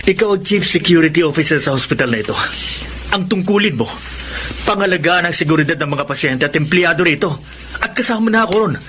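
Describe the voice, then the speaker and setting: Earnest, fairly high-pitched Filipino doctor played by a man. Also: animated, fast talker, background noise, lightly acted. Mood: firm, reasoning. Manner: earnest, emphatic, reasoning. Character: hospital doctor, alarmed but reasoning, responsible male friend. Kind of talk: Filipino radio drama acting.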